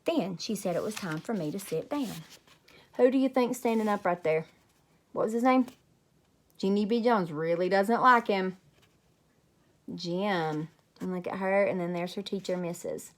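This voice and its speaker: A woman's voice: mid-range voice, moderate pace, long pauses. A woman storyteller reading a children's book expressively to young listeners. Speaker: read-aloud teacher, storyteller.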